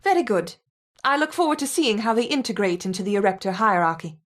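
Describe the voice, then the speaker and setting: A woman playing a brisk, authoritative project supervisor in a video game, clipped, formal and coolly impatient. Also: mid-range voice, expressive, very noisy, close to an everyday voice. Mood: businesslike. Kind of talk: acted video game dialogue.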